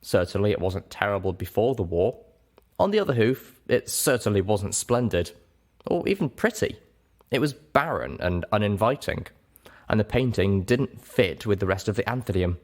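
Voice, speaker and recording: A man's voice: fairly low pitch, animated, moderate pace. A man reading a first-person story aloud as its narrator. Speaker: story reader.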